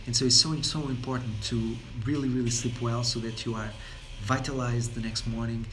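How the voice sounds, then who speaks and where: A man's voice: mid-range voice, little pitch movement, fairly quick. Portuguese-accented male speaker, non-native English, loose wandering delivery full of fillers and restarts, faint noise behind. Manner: rambling, many fillers and repeated words. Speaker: wellness speaker. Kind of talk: unscripted talk.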